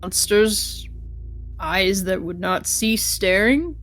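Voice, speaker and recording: A woman's voice: mid-range voice, slow pace, a bit bright. A woman playing a tabletop role-playing game, chatting in and out of character in a wry, wordy way. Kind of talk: casual in-character tabletop chat. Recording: clear music behind the voice. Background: background music.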